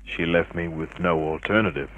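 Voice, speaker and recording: An actor playing a cynical, unflappable private detective, terse and dry, in vintage radio drama style. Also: male voice, sits low, normal speed, a bit bright, muffled recording. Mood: hard-boiled narrating. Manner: terse, hard-boiled, dry. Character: cynical, unflappable male private detective narrating his case.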